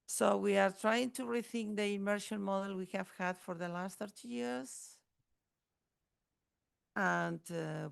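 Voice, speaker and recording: A woman's voice: lowish voice, gravelly, dry recording. A woman from the Basque Country, a teacher educator, introducing herself on a panel with hesitant restarts. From Basque Country, Spain. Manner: hesitant, restarting words, many fillers.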